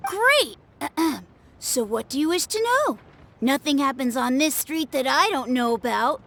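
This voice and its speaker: A woman's voice: high-pitched, very animated, a little slow, a bit bright, slight background noise, full character voice. An actress voicing an eager, boastful little boy in a video game, childlike, bouncy and self-important. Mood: excited, proud. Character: eager, boastful little boy playing martial arts master. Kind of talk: scripted video game voice acting.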